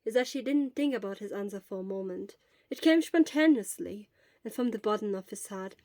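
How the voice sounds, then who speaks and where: A woman's voice: medium pitch, lively intonation, brisk, darkish tone, dry recording. A woman narrating scripted fiction as the reader of an audio story.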